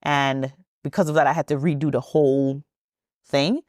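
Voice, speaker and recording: A woman in her thirties from Trinidad talks as a podcast guest with a low, grounded voice. She tells her stories in a chatty, easygoing way, often breaking into laughter. The sound is dry, with no room around it. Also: steady tone, moderate pace, a little mellow, no echo. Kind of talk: podcast interview storytelling.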